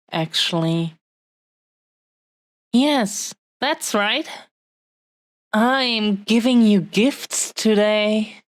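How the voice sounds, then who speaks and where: A woman playing an affectionate, possessive dragon-blooded fiancée in an intimate romantic audio roleplay, speaking tenderly and haltingly to the listener. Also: lowish voice, dry recording. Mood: shyly eager. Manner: tender, halting, lingering over short phrases.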